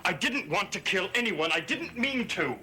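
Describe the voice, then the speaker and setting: An actor playing an anguished young man under a family curse, halting and defensive, in a 1960s gothic soap opera. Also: high voice, big pitch swings, bright, forceful tone, full character voice. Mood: guilty, anguished.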